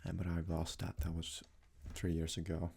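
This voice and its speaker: A young man from Sweden, a native Swedish speaker, talks casually in a soft-spoken, hesitant ASMR style as he answers questions. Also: low voice, completely flat delivery, moderate pace, vocal fry, slightly rough. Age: young adult. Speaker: ASMR creator.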